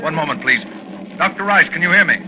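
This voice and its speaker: A man acting as a formal announcer on an old radio suspense drama. His voice sits a little high, and his phrasing is clipped in the 1940s broadcast manner. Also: expressive, fast talker, heavy background noise, natural voice. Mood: concerned, urgent.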